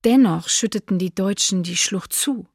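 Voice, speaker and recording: A woman's voice: medium pitch, moderate pace, creaky, husky, no room sound, clean studio sound. A woman playing a sober eyewitness giving testimony in a documentary radio drama. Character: woman eyewitness living near the massacre site, sober.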